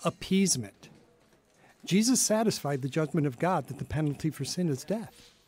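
An actor playing a young pastor in a radio drama, gentle, earnest and instructive as he explains faith. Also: fairly high pitch, animated, normal speed, breathy edge. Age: young adult.

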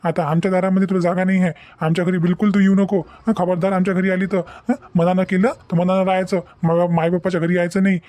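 A man voicing an aggrieved young husband in an animated family drama, halting and repetitive. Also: high voice, dry recording, lightly acted. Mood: scolding. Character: aggrieved young husband recounting insults from his in-laws.

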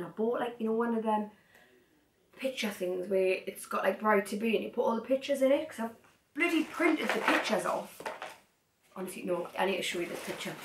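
A young woman sitting on her living room floor, chatting excitedly to a camera set some distance away and rambling off into asides. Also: on the high side, noisy background. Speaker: vlogger. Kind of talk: casual haul chat to camera. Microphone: far camera. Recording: echoey room, mic at a distance.

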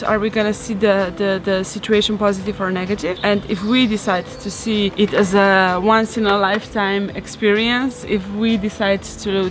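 A woman in her thirties with a Slovenian accent, talking casually as a vlog co-host. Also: mid-range voice. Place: outdoor rooftop terrace. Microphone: on-camera shotgun mic at short distance. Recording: faint outdoor traffic ambience.

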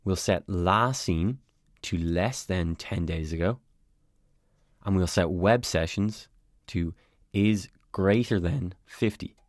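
Low-voiced man narrating a software walkthrough. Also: takes it slowly, no echo, studio quality. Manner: calm, step-by-step explanatory. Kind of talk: scripted screencast narration. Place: voice-over over screen recording.

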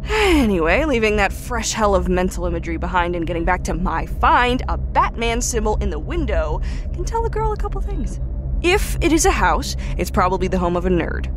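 A woman's voice: mid-range voice, a little fast, natural voice. Woman playing a brash geeky scout over vehicle noise. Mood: animated, sarcastic. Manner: excitable, slangy, sarcastic, rambling. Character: brash, geeky, foul-mouthed female post-apocalyptic scout. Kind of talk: audio drama log report. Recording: vehicle hum and noise behind voice.

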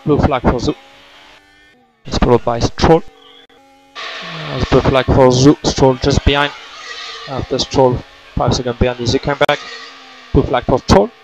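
A man's voice: medium pitch, fairly even intonation, a little slow, vocal fry, pauses often, soft background music. A man working as a race engineer, giving terse, repeated instructions in a clipped manner over team radio. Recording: noisy motorsport team radio.

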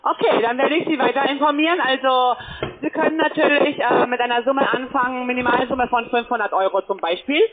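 A man with a very high voice works through a call center sales pitch. He speaks haltingly, often searching for his words. The sound is a narrow phone line with loud chatter all around him. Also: fairly even intonation. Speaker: call center caller selling crypto trading.

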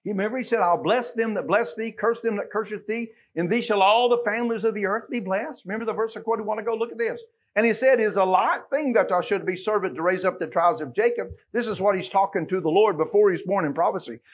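Middle-aged man, American accent, high husky voice, emphatic Baptist preacher, rhetorical questions, slightly dull church recording. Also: fast. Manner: emphatic, swinging from quiet asides to loud exclamations, rhetorical questions. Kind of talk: Sunday sermon with scripture quotations. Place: small church sanctuary, at the pulpit.

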